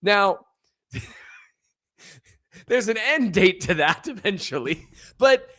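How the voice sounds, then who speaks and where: Podcast co-host, male, slight Minnesota lilt, rambling and self-mocking, a bit higher voice. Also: expressive, drawn-out words, a bit bright. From upper Midwest and Missouri. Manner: rambling, self-deprecating, chuckles often. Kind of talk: casual sports podcast chat.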